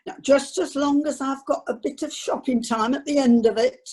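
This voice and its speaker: A woman's voice: high-pitched, normal speed, dark tone, close to an everyday voice. A woman playing a grudging middle-aged wife on a cruise in an amateur radio drama.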